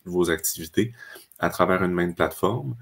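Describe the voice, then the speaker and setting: Deep-voiced man presenting software. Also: normal speed, gravelly. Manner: steady explanatory, frequent fillers. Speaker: customer success manager. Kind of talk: webinar product presentation.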